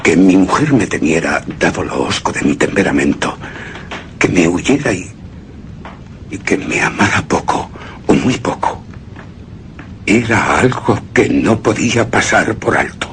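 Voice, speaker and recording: A man's voice: low voice, lively intonation, a little slow, pauses often, a bit bright, a little hiss or hum. A man playing a brooding, obsessive widower who narrates his haunted past in solemn, theatrical radio horror style. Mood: bitter brooding. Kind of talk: dramatic literary narration, radio horror drama.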